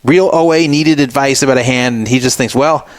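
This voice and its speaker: A man's voice: medium pitch, fairly quick, dry recording. A man hosting a talk radio show, giving opinionated commentary and scoffing sarcastically at a statement he reads aloud.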